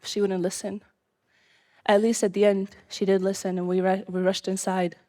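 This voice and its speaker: Young adult woman from Syria, first-person live storyteller, earnest, measured delivery, middle pitch. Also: level tone, mellow.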